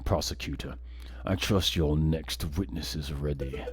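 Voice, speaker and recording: A man puts on a stern game judge with a very low, weighty voice. He is pompous and measured, handing down scorn, with music underneath. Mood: stern, scornful. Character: stern, scornful old male courtroom judge, deep and imperious.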